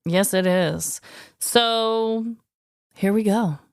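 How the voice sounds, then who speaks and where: A woman co-hosting a podcast with an expressive, dramatic way of talking, both bantering and telling stories. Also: medium pitch, very slow, clean tone, dry recording, clean studio sound. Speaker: podcast co-host. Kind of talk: casual banter and scripted comic storytelling.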